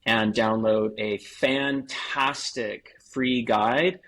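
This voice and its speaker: A man's voice: medium pitch, steady tone, extremely slow, a bit bright. A man giving conversational expert advice as a podcast guest, explaining things point by point. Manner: explanatory, lists points in series, acts out sample phrases. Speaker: podcast guest, sales coach.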